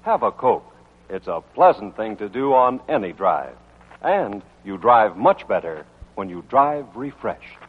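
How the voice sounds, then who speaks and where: A man's voice: lowish voice, big pitch swings, unhurried, breathy edge. A man announcing from a script in the style of a vintage radio show. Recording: tinny band-limited old radio, faint music.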